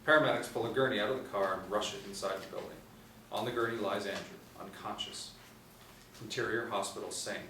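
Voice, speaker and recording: Guy in his 40s reading script directions, lowish voice, echoey room. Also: fairly bright tone. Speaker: narrator reading stage directions. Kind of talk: scripted narration. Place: small indoor room, seated. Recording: roomy, distant mic, faint hiss.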